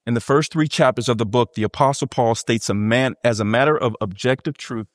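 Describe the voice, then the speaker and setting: A man with a deep voice, a pastor preaching an earnest expository sermon in church and turning aside now and then to talk to the congregation. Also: brisk, no echo, clean studio sound. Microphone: microphone.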